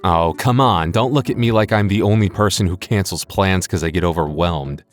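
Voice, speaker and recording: A man's voice: low voice, moderate pace, faint music behind. A man who makes audio roleplays, chatting casually to his listeners in an awkward, self-deprecating, stammering way. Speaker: audio roleplay creator, host of the channel. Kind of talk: casual scripted outro skit to listeners.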